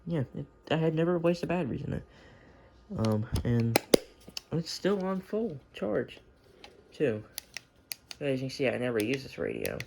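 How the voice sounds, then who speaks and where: Casual male hobbyist, informal and mumbly, creaky voice, unscripted home video with clear background noise and clicks. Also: mid-range voice, huge pitch swings, normal speed, pauses often. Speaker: hobbyist showing a weather radio collection. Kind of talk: casual unscripted show-and-tell. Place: room at home. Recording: noisy home recording with clicks.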